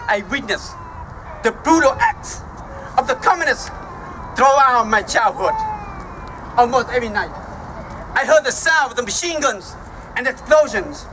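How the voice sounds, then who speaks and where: A man in his fifties from Vietnam with a Vietnamese accent, giving a formal speech as guest speaker at an outdoor ceremony, slow and halting with emphatic pauses. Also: very high voice, sharp tone, slight background noise, poor audio. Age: 50s.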